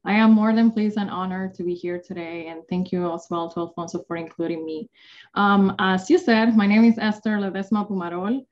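A woman's voice: medium pitch, steady tone, brisk, a little hiss or hum. Young woman, from the Dominican Republic, earnest panel intro, fillers, muffled video call. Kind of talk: webinar introduction. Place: home office with bookshelves.

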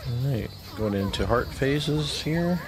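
A man leading a game raid on stream, calmly calling out mechanics and giving instructions. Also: mid-range voice, slow pace, music in the background. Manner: calm, instructive callouts with short laughs.

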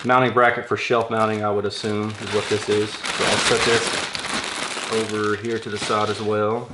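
A man's voice: lowish voice, little pitch movement, normal speed. Casual man unboxing gear over crinkling noise. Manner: informal, thinking aloud while handling items. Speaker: product reviewer unboxing audio gear. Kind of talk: casual hands-on unboxing commentary. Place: small indoor workshop room. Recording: clear crinkling and handling noise. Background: packaging crinkles, clicks, rustling.

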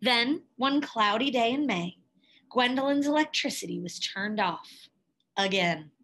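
A woman reading a picture book aloud expressively, in a measured way with dramatic pauses and emphasis. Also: a little high, animated, fairly bright tone, poor audio. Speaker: read-aloud storyteller, teacher.

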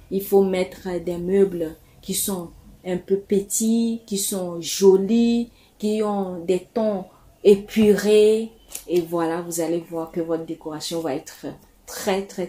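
Mellow-voiced woman giving chatty decor advice. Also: on the low side. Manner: chatty, repeats words, many fillers. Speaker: home decor YouTuber. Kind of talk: casual advice talk to viewers.

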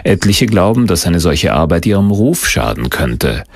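Deep, lively male documentary narrator. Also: moderate pace, gravelly, dry recording, studio quality.

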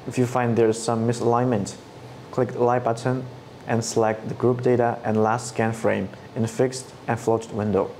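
Flat-toned man explaining software steps. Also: medium pitch, moderate pace, a little hiss or hum. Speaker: product trainer. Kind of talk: scripted tutorial narration. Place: studio set with dark backdrop. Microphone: lapel mic.

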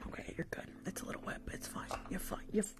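A woman's voice: fast, natural voice. A young woman playing a rude, impatient, sassy nail technician in a whispered ASMR roleplay, close to the microphone. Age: young adult. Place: small decorated room indoors. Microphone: fluffy-covered microphone, close up.

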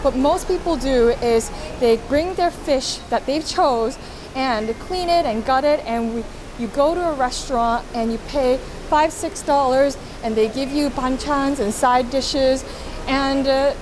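A woman's voice: high-pitched, unhurried, noisy background. A young woman in her twenties, a food vlogger chatting to the camera as she tastes seafood in a restaurant, describing each bite and gushing over it. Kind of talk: casual food vlog narration. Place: seafood restaurant by a window, indoors. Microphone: camera held close, selfie framing.

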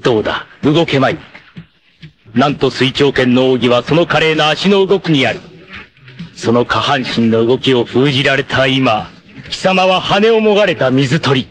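A man voicing a vain, treacherous martial-arts villain in an anime, with a theatrical, haughty, drawn-out delivery. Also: medium pitch, lively intonation, fairly bright tone. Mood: gloating, cruel. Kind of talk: dramatic anime voice acting.

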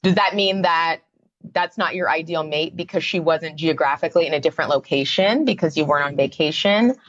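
A woman's voice: sits low, fairly quick, dull audio. A woman, a psychotherapist guest on a podcast, explaining her views in a conversational way with many fillers. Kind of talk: podcast interview.

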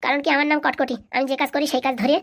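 A woman's voice: sits high, flat delivery, very fast. A woman voicing a proud, grumpy hired fixer in a comic cartoon, curt and. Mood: surly.

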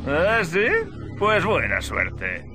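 Sneering survivor, wildly swinging pitch. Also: male voice, very animated, drawn-out words, noisy background, exaggerated voice. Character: mocking, foul-mouthed, cantankerous survivor. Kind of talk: video game cutscene acting.

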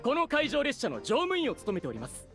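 A man's voice: extremely high pitch, wildly swooping pitch, brisk, a bit bright, full character voice. A man voicing a small toy-block train attendant in a game, polite, eager and easily flustered, with formal service speech. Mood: courteous. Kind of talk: Japanese anime game acting. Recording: faint music behind.

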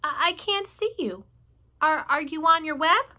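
A timid young pig, anxious and begging, his voice pitched high above the reader's own and tripping over its words as a woman reads him aloud for children. He sounds bright and eager even when afraid. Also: measured pace, exaggerated voice. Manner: worried, pleading, stammering.

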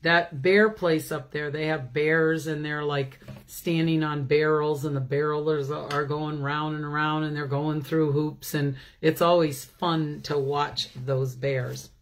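A woman's voice: low voice, fairly even intonation. An older woman hosting a children's story time, reading aloud with chatty asides and questions to her listeners. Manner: slow, asks listeners questions. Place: small room at home, indoors. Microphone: phone or webcam at arm's length.

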